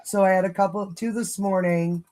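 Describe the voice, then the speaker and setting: A woman hosting a livestream who talks candidly about recovery, her voice hoarse and fading, rambling with many fillers. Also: mid-range voice, fairly even intonation, a little fast, darkish tone. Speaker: livestream host. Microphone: phone.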